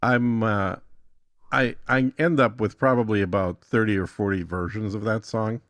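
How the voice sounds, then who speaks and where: A man's voice: fairly low pitch, fairly even intonation, normal speed, fairly bright tone, dry recording. A man talking casually as a guest giving a tutorial, thinking aloud in a matter-of-fact way.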